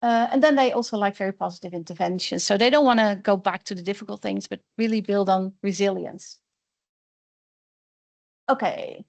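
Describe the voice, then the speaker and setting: A woman's voice: medium pitch, normal speed, gravelly, no room sound. A woman researcher wrapping up a seminar presentation about her study, explaining plainly and slipping into short quoted voices. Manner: explanatory, quoting others in lively voices. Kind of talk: academic seminar talk.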